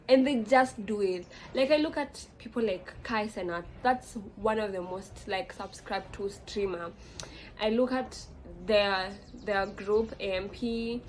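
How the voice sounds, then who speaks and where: Young woman, Kenyan accent, chatty and rambling, mid-pitched voice, faint hiss. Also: darkish tone, low-quality recording. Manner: rambling, frequent fillers and self-corrections. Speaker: vlogger. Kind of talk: casual reflective monologue.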